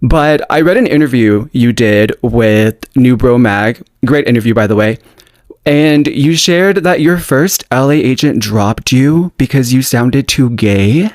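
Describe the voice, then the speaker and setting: A man hosts a podcast with sassy energy, quick and punchy in his delivery. His voice sits close and dry on the mic with no room sound. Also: medium pitch, expressive, darkish tone, no echo. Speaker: podcast host. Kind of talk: casual interview chat.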